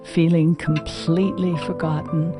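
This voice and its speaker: An actress playing a lonely, humble elderly widow, speaking in halting, prayerful phrases in an audio drama. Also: female voice, low voice, level tone. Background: music.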